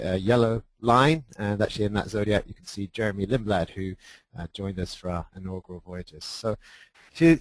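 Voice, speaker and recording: Man, stuffy-nosed, lowish voice, dry sound; hesitant, casual presenter. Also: a little mellow, dry recording, rough recording. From United Kingdom. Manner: hesitant, many uhs and restarts. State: stuffy nose from hay fever. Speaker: expedition leader.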